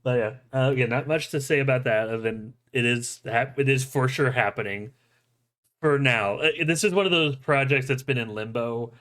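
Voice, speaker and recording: A man's voice: medium pitch, normal speed, fairly bright tone. Casual, jokey guy hosting a stream. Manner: jokey, profane. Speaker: show host. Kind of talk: casual movie news chat on a livestream.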